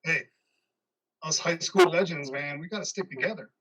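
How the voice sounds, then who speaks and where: A man's voice: a little high, moderate pace, bright, forceful tone, distant mic, poor audio. A man playing a loyal, awkwardly sympathetic buddy in an amateur play reading, casual and hesitant. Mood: awkward, supportive. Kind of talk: amateur reader's theater over video.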